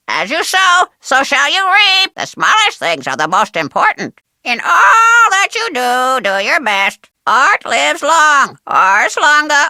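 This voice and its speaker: A woman voicing a cheeky, bossy, vain pet parrot in a video game, squawky and shrill, repeating phrases in clipped bursts. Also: pushed and bright, no room sound, studio quality, full character voice. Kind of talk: cartoonish video game character acting.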